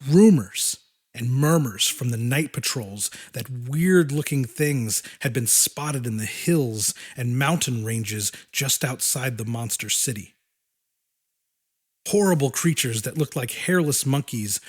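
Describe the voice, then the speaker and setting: Man narrating dramatically, medium pitch. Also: slightly rough. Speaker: audiobook narrator. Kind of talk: scripted dramatic horror story narration.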